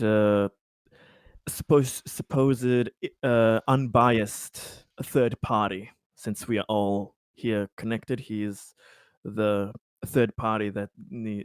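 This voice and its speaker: A man's voice: lowish voice, smooth. Guy at a game table, stumbles and repeats himself, casual, no room echo. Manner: halting, repeats words. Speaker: tabletop role-playing game player.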